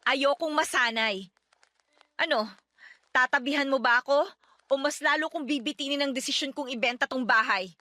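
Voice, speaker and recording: Hurt, clinging wife for radio drama; high, tense voice, pleading and emotional. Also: female voice, normal speed, pauses often, bright, forceful tone. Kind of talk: radio drama dialogue in Tagalog.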